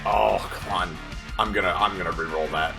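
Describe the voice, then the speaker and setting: A man with a very low voice chats casually as a tabletop game player. Music with guitar plays under his talk. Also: fairly even intonation, moderate pace, vocal fry.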